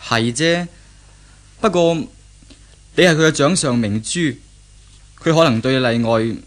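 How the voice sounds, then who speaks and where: An actor playing a polite, wary young fiancé in a 1970s Cantonese radio drama, speaking earnestly with a Hong Kong accent. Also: male voice, mid-range voice, a little slow, fairly bright tone, natural voice. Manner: formal, guarded, earnest.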